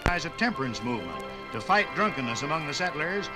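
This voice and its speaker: Highish, animated male radio narrator. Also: fast. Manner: dramatic, oratorical phrasing. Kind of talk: 1950s radio documentary narration, scripted. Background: music.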